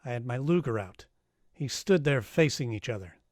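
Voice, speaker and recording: Man acting hardboiled radio detective, wry, tough, mid-pitched, close dry sound. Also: lively intonation, normal speed, a little mellow, dry recording, close to an everyday voice. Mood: wry narration. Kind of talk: 1940s radio detective drama, first-person narration.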